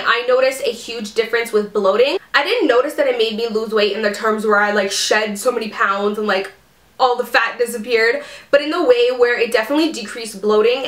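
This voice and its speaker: A woman's voice: on the high side, fairly bright tone, reverberant. A young adult woman vlogging casually about her monthly favorites while sick, chatty and full of fillers like "um" and "like.". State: sick, ears plugged. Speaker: beauty vlogger. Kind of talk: casual chat to camera.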